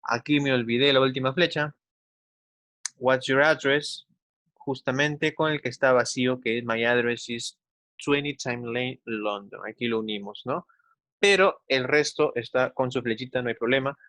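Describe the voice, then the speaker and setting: A man gives an instructional English lesson. His voice sits at a medium pitch in a dry recording with little room sound. He carefully stretches out the English examples and regularly tags his explanations with short checking questions. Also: a bit bright, no room sound. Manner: didactic, slowly enunciates English examples, often seeks agreement with tag questions. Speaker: English teacher. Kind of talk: instructional online class for children.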